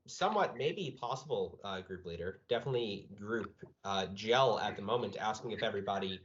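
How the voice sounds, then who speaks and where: A man's voice: on the low side, animated, a little fast, a little hiss or hum. A man speaking as a game master, chatting casually and asking a player about their tabletop campaign. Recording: dull, lo-fi voice audio.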